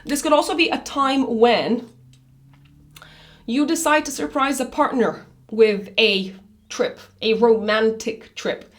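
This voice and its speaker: A woman reading a monthly horoscope in a chatty, loose way, her voice raspy after an illness. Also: on the high side, slow pace. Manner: chatty, loose, with many fillers and restarts. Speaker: astrologer.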